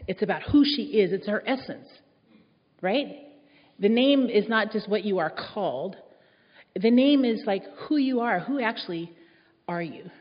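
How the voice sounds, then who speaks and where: A woman telling a reflective personal story as part of a church talk, speaking slowly and warmly with soft laughs. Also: medium pitch, animated. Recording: dull echoey hall sound.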